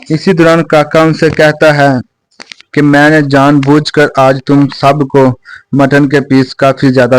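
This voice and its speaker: Slow, dry-sounding male story narrator. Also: fairly high pitch, no echo, low-quality recording. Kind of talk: scripted Hindi children's story narration.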